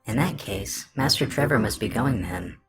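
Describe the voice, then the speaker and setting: A man's voice: on the low side, animated, moderate pace, over music, pitched above the performer's own voice, lightly acted. A man voicing a huge, politely menacing monster with a childlike, sing-song rhyming way of talking, for a horror story. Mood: courteous, sing-song. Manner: sing-song rhyming, speaks of itself in third person.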